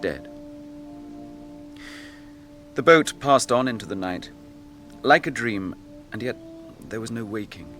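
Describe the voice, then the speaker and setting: An actor playing a grave, noble young captain grieving his brother, in measured, archaic speech for a fantasy radio drama. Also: medium pitch, animated, a bit bright, soft background music. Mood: grieving, brooding.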